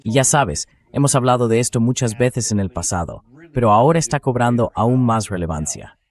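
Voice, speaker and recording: A man's voice: mid-range voice, expressive, fairly quick, no echo. A man's synthetic voice dubbing an interview host in political commentary, reading conversational speech aloud. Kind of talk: AI-read dubbed political commentary.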